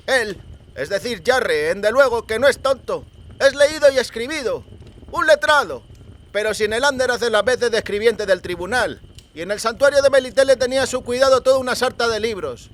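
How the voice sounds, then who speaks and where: A man's voice: very high voice, brisk, a bit bright, pitched above the performer's own voice. A man voicing a chatty, conciliatory country lad from a gang in an audiobook, folksy and ungrammatical. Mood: admiring. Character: chatty, conciliatory young rustic gang member.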